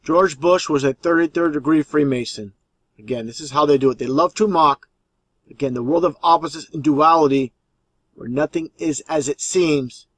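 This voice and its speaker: A man's voice: fairly high pitch, normal speed, no room sound. A man giving conspiracy commentary over video clips as the host, explaining in an insistent, repetitive way.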